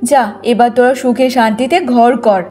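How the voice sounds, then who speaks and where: A woman's voice: on the high side, steady tone, a little slow, a little mellow, natural voice. A woman voicing a concerned older village mother in a cartoon, soothing and advising her daughter. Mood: coaxing. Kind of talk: Bengali moral-story cartoon dubbing.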